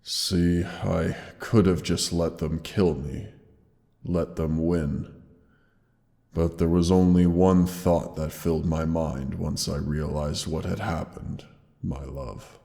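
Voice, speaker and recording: Guy doing a huge old dragon, super deep gravelly voice, hurt and dying, soft and loving, lots of reverb. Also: fairly even intonation, heavily acted. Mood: tender, pained. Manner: tender, slow, weary. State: wounded, pained. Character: dying ancient male dragon, gentle and loving. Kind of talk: audio roleplay drama.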